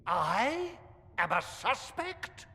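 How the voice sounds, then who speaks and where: A haughty church official in a fantasy video game. His sharp, high voice drags out accusations with pompous indignation. A long hall reverb follows him. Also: big pitch swings, very slow, exaggerated voice. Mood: indignant. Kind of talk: dramatic fantasy game acting.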